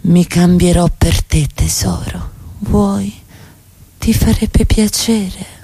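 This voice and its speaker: A woman's voice: on the low side, fairly even intonation, takes it slowly, dark tone, breathy edge, full character voice. A woman playing an evasive, defiant, seductive middle-class wife in radio theatre, with a theatrical, insistent delivery that can drop to a whisper. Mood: coy, seductive.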